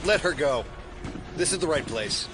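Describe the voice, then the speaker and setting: An actor with an American accent playing a mysterious, commanding and sardonic operative in an audio drama. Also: male voice, on the high side, animated, normal speed, background noise, lightly acted. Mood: commanding, urgent. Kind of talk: modern audio drama acting. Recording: dramatic music and effects behind.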